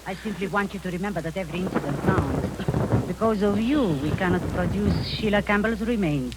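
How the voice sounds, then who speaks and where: A woman's voice: lowish voice, a little fast, heavy background noise, lightly acted. An actress playing a scheming older female spy who poses as a kindly aunt, speaking in a sugary, condescending way that turns cold and commanding, in 1940s radio acting style. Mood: coldly scheming. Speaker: villainous spy posing as an aunt.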